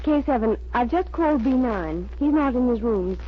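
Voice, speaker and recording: An actress playing a brisk, earnest female agent making dutiful reports in an old-time radio drama, on a muffled recording. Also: fairly high pitch, animated, close to an everyday voice. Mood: urgent, dutiful.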